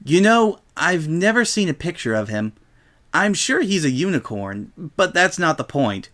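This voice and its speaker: A man's voice: fairly high pitch, big pitch swings, normal speed, fairly bright tone. A man voicing an earnest, bookish young female unicorn who explains things patiently in a storybook reading. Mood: earnest, explanatory.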